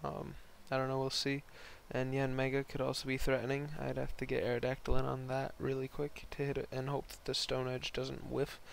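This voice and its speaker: Gravelly, hoarse young man doing casual game commentary. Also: medium pitch, completely flat delivery, fairly quick, few pauses, slight background noise. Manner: rambling, slangy, laid-back. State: hoarse, voice partly lost from concert the night before. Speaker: host narrating online game battles.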